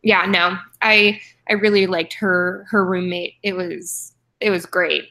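Bright-voiced young woman on a video call. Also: on the low side, steady tone, measured pace. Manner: chatty, frequent ums and restarts. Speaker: book club co-host. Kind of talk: casual video call chat. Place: home room with posters and bookshelf. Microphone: webcam on video call.

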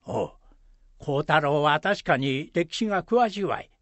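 A man playing a gruff, proud old former village headman with a Shizuoka accent in an amateur radio drama, talking in a rustic, hesitant way. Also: a little high, expressive, a bit bright, no room sound. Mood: knowing. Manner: slow, rustic, grunting hesitations.

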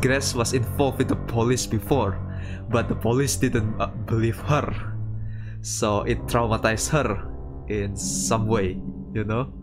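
A streamer giving casual, halting commentary while playing a visual novel and reading it aloud. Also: medium pitch. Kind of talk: casual game commentary mixed with read-aloud dialogue. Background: guitar music from the game.

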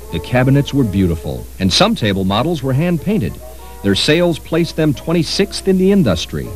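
A man's voice: mid-range voice, darkish tone, rough recording. A man reading scripted narration for a history documentary.

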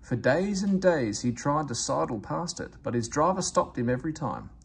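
A man's voice: on the high side, animated, normal speed, creaky, slight background noise. A man reading a children's story aloud as scripted narration. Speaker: storybook reader.

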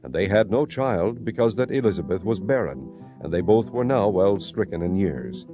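A man's voice: lowish voice, lively intonation, moderate pace. A man narrating scripture dramatically, in a solemn storytelling manner. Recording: music behind the voice.

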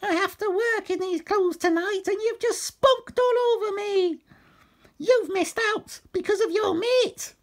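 A woman's voice: very high-pitched, measured pace, pitched above the performer's own voice. A woman voicing an indignant, foul-mouthed female streetwalker in a crude comic poem, scolding as she goes. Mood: outraged.

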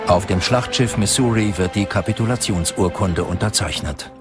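Low-pitched man narrating over loud music. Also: moderate pace. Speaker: documentary narrator. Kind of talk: scripted documentary narration.

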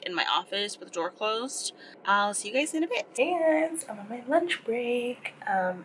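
A woman's voice: mid-range voice, pushed and bright, slight background noise, rough recording. A young woman in her twenties from Singapore, a photographer chatting casually to her camera in a rambling, filler-heavy way. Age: 20s. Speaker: vlogger, photographer. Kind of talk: casual vlog chat. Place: car, small office, then home room. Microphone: camera-mounted mic, held close or on tripod.

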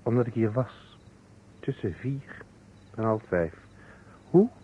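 An actor in an old Dutch radio play playing a weary surgeon, speaking in short, deliberate phrases. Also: male voice, medium pitch, animated, husky. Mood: grave, confessing. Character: weary male surgeon coolly confessing a false alibi. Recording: dull, noisy old radio recording.

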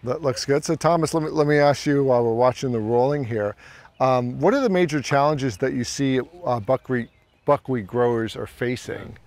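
A man's voice: mid-range voice, moderate pace, a little mellow. A middle-aged man hosting and casually asking questions of his guests. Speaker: host. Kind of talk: casual interviewing. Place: outdoors on a lawn beside a food truck.

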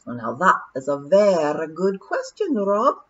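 A woman plays a pompous little male pixie, weighing every word in a slow, drawn-out way. His voice is husky and swings up and down in pitch. The recording sounds a bit dull. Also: wildly swooping pitch, a bit bright, heavily acted. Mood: ponderous. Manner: measured, ponderous, drawn-out.

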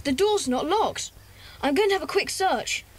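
A woman's voice: high-pitched, lively intonation, moderate pace, slight background noise. A woman playing an earnest, bossy young schoolboy gang leader in a children's audio drama. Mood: purposeful.

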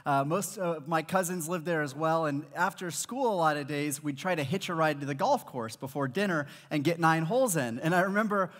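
Storytelling young pastor preaching in a big hall. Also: male voice, fairly high pitch, fairly quick, hardly any pauses. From Malawi. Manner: animated storytelling preacher, acts out quoted voices. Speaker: high school pastor. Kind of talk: sermon. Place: large church auditorium stage with audience. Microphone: headset mic. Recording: long reverb of a large hall.

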